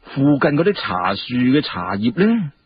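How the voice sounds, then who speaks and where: A man's voice: mid-range voice, big pitch swings, a little slow, husky, muffled recording, full character voice. A man voicing a courteous, genial young court minister in a Cantonese story, explaining things politely. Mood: amused, explaining.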